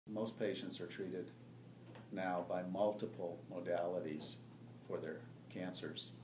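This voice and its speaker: An older man, a doctor, calmly explaining in an interview. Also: low-pitched. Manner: calm, explanatory. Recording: roomy, distant, noisy.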